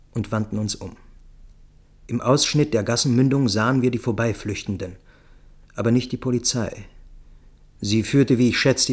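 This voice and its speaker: A man reading scripted literary narration for a radio play in a measured, precise way. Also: mid-range voice.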